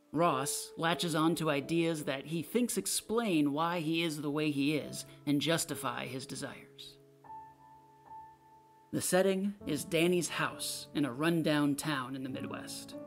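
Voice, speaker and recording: A woman reading a play's character notes and stage directions as scripted narration. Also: deep voice.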